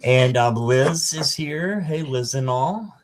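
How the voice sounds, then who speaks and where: A man in his fifties hosting a casual livestream, greeting viewers by name and filling pauses with ums. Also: medium pitch, lively intonation, slight background noise. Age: 50s. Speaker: livestream host.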